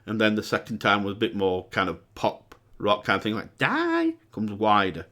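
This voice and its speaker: A man with a cold and a croaky voice, hosting a music reaction video with casual, excitable commentary that jumps to high exclamations. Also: normal speed. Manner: excitable, breaks into high exclamations and sung demonstrations. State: hoarse from a cold. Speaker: music reaction channel host.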